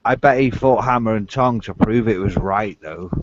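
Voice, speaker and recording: A man's voice: on the low side, moderate pace, lo-fi sound, noisy background. A man with a northern English accent chatting casually in an online hangout, easygoing and agreeable. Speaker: panelist in an open online hangout. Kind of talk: casual chat. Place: Wales.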